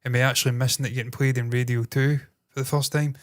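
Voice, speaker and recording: A man in his thirties with a nasal Glaswegian accent, co-hosting a casual podcast chat, animated and swearing freely. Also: mid-range voice, fast talker. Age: 30s. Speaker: podcast co-host.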